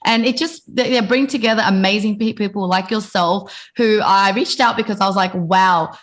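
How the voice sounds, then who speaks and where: A woman hosts an interview show. She introduces the guest from a script, then chats and asks questions in a loose, rambling way. Her voice sits at a middle pitch. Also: normal speed. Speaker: podcast host. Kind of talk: casual interview hosting.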